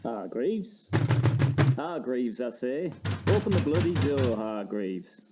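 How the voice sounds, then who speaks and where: An actor playing a flustered, irritated, proper landlord who blusters with exasperation in a period audio drama. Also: male voice, mid-range voice, very animated, takes it slowly, very noisy. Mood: angry.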